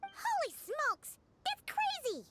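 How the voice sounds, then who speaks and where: A woman voicing a tiny, chatty, excitable fairy companion with a childlike voice in an anime-style video game. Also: slow, loud music behind the voice, heavily acted. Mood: astonished. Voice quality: childlike, squeaky. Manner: exclamatory, bubbly.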